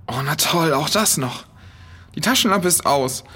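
A man's voice: a little high, expressive, measured pace, sharp tone, slightly rough, full character voice. A male actor playing a grumbling, reluctant and sarcastic boy adventurer in a children's audio drama. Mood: sarcastic, gloomy.

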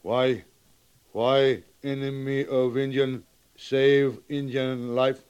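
A man's voice: mid-range voice, level tone, slow pace, a little mellow, clear, resonant tone, muffled recording, lightly acted. An actor playing a proud, suspicious Sioux chief and father in a radio western, declaiming solemnly in clipped broken English. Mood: stern, demanding. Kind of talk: old radio western acting.

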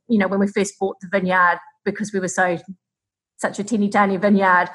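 A woman's voice: lowish voice, a bit bright, breathy edge, rough recording. A woman with a New Zealand accent, answering conversationally as an interview guest who farms and founded a tech business.

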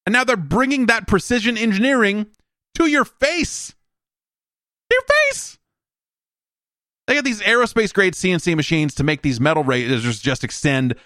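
A man's voice: high-pitched, animated, moderate pace, long pauses, bright, forceful tone, dry recording, studio quality. A man hosting a solo podcast, talking in a rambling, informal, wry way, moving between casual commentary and ad reads. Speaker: podcast host. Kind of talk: casual solo podcast talk and host-read ad.

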